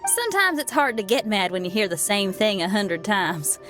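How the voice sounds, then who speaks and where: A woman's voice: medium pitch, animated, moderate pace, loud music behind the voice, lightly acted. An actress voicing a bold young woman rock musician in a game, confident and cheeky. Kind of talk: game cutscene dialogue.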